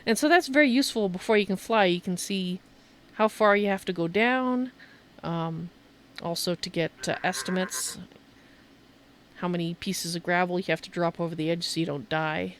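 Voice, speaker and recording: A woman's voice: on the low side, moderate pace, slight background noise. A woman giving a casual, chatty game tour as a streamer, thinking aloud as she shows things off. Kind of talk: casual gameplay commentary and show-and-tell.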